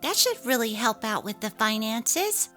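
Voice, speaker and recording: A woman's voice: fairly high pitch, big pitch swings, a little slow, pushed and bright, heavily acted. A woman voicing an apologetic, evasive young working wife and mother in a dramatic story reading. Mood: placating, evasive. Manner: apologetic, placating. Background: faint music.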